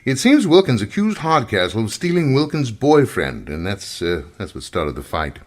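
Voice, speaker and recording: An actor playing an affable, wry male professor in an old-time radio mystery, chatty and pausing as he recalls things. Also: fairly low pitch, big pitch swings. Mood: wry, obliging.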